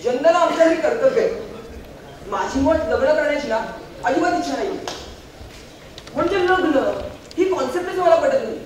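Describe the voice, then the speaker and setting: A man's voice: very high-pitched, expressive, normal speed, long pauses. A man playing a reluctant young bachelor, cynical about marriage, declaiming argumentatively in a stage play. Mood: wry, declaiming. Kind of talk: theatrical stage monologue and dialogue. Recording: echoey stage hall from far, faint noise.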